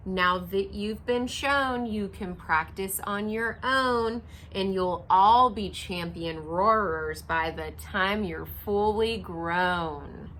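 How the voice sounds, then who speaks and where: A woman voicing a strict female dragon teacher in a storybook, loud and commanding. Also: medium pitch, slow, hardly any pauses, a little hiss or hum.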